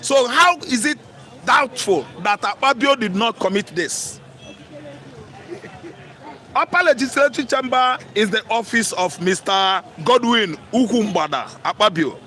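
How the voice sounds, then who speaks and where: A high-pitched man with a Nigerian Igbo accent holds forth as a political commentator. He is emphatic and combative, repeats his points for force and drops into Pidgin. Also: lively intonation, a little slow, pushed and bright. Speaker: talk show commentator. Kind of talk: opinionated live political commentary.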